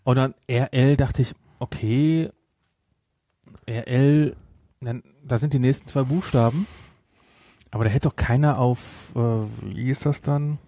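A male podcast co-host with a medium-pitched voice. He chats casually but argues emphatically, often repeating himself. The recording is dry and close. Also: fairly even intonation, a little slow, warm tone, no room sound. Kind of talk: casual chat reviewing audio drama.

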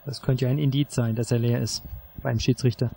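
A man's voice: medium pitch, little pitch movement, a little fast, creaky, dark tone, husky. A man speaking casually as a contestant in an audio mystery game, politely asking what he can look at.

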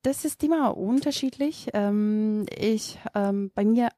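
A woman's voice: mid-range voice, slow, background noise. A woman in her thirties, a native Macedonian speaker with a Macedonian accent, answering interview questions with many hesitations and restarts. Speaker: yoga teacher, interview guest.

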